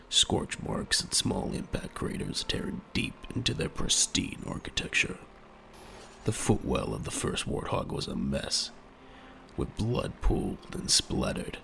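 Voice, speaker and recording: A man's voice: fairly low pitch, gravelly, pushed and bright, slight background noise. A man delivering dramatic scripted narration for an audio drama.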